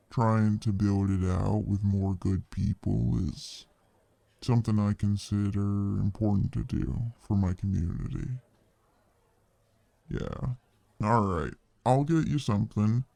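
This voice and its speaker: A man's voice: on the low side, creaky, warm tone, dry recording. A man playing a friendly, easygoing fantasy tavern bartender who chats casually and folksily to the listener in an ASMR roleplay. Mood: welcoming, warm. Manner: laid-back, hesitant, folksy, chatty.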